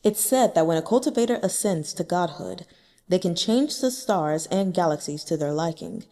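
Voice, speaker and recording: A woman's voice: lowish voice, lively intonation, moderate pace, warm tone, natural voice. A woman reading a cold, aloof immortal man.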